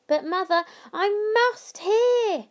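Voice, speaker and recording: A woman's voice: very high voice, lively intonation, slow pace, voice pushed up, full character voice. A woman voicing an earnest, curious little boy who questions everything eagerly.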